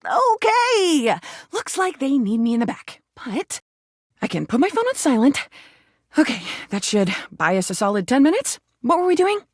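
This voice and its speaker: A man's voice: extremely high pitch, wildly swooping pitch, normal speed, dry recording, studio quality, exaggerated voice. A man voicing a hyperactive goofball game mascot, campy and theatrical, full of playful silliness. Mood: flustered, chatty.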